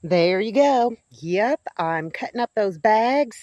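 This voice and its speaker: A middle-aged woman talks through her homestead projects in a folksy, rambling way, filming with a camera held close. Her voice sits at an ordinary pitch for a woman. The sound is dry, with almost no room around it. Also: expressive, slow pace, darkish tone, no echo, highs cut off. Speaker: homesteading vlogger. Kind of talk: casual vlog chat. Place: outdoors on a homestead among chickens. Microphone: handheld camera or phone at arm's length.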